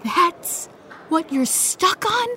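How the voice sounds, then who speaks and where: A woman's voice: very high voice, sing-song, drawn-out words, bright, forceful tone, a little hiss or hum, exaggerated voice. A woman playing a teasing, pushy but supportive teenage best friend in naturalistic podcast drama, speaking emphatically. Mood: encouraging. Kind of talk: naturalistic fiction podcast acting.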